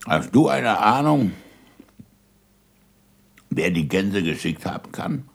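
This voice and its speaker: An actor playing an ageing, disillusioned scientist in a German radio play, gravelly and husky, with deliberate, weighty delivery. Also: male voice, lowish voice, very animated, heavily acted. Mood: quiet, musing. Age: older. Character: ageing, disillusioned scientist, bitter, self-accusing, fond of food.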